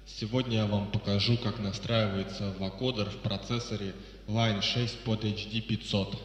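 A man narrates a step-by-step software tutorial as its presenter. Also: lowish voice, flat delivery, moderate pace. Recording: very echoey reverberant voice-over, music behind.

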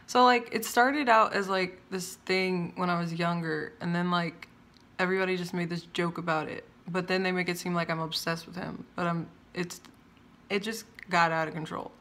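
A loud young woman talks straight into a phone camera at arm's length, rambling from one thing to the next and cutting herself off mid-thought. Her voice is low-pitched for a woman yet bright and forceful, and it projects easily. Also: fairly even intonation, moderate pace. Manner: chatty, wandering, self-interrupting. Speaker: vlogger. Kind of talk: casual rambling rant. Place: indoors by large windows, home room.

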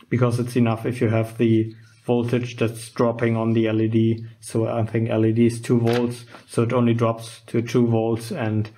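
A man giving a casual, unscripted electronics demonstration, halting and informal as he thinks aloud. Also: mid-range voice, a little mellow. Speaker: host of a DIY electronics channel. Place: indoors at a wooden workbench.

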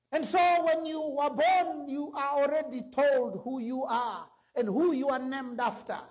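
A middle-aged man preaching a sermon from a church stage into a handheld mic, emphatic and rising to exclamations. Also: extremely high pitch. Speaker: pastor.

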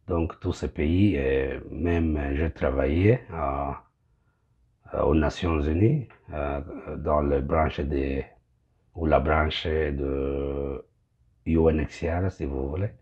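Very deep, halting pastor. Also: male voice, fairly even intonation, slightly rough, dull audio. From Congo. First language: Kinyamulenge. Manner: halting, searching for words. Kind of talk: direct-to-camera address. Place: small indoor room.

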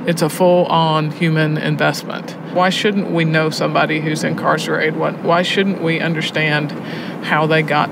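A woman educator speaking earnestly in an interview, emphatic and deliberate, repeating phrases. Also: low voice, flat delivery, gravelly, noisy background. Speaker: prison arts program educator.

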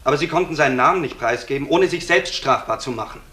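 An actor playing a stern, accusing police investigator in a German crime radio play, with pointed, interrogating delivery. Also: male voice, medium pitch, expressive, fast, bright, forceful tone. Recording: roomy, echoing studio sound.

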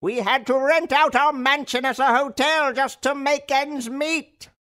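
An actor playing a cranky, melodramatic old mad scientist in a cartoon game. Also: male voice, very high voice, a little slow, pushed and bright, full character voice. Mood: regretful. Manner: melodramatic, grumbling.

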